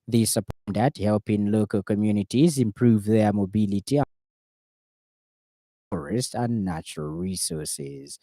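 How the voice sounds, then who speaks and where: A man with a Zambian accent reading a scripted radio news bulletin in a formal, measured way. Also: lowish voice, lively intonation, mellow, no room sound. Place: radio studio.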